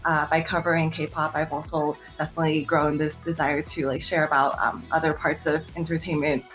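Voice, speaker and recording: Low-voiced young woman, hesitant and measured. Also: little pitch movement, fairly bright tone, soft background music, rough recording. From Taiwan. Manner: measured, many "um" and "uh" pauses. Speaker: entertainment journalist. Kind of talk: panel interview answer. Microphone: video call.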